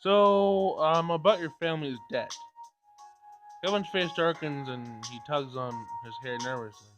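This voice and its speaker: A man's voice: a little high, unhurried, lo-fi sound, over music. A man casually reading a visual novel aloud and commenting as he plays. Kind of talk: casual let's play read-aloud and commentary.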